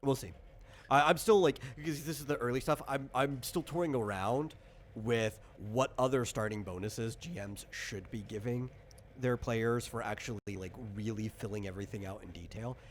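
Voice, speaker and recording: A man's voice: mid-range voice, normal speed. A man chatting casually as a game master, explaining things in an informal, rambling way. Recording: clear background noise.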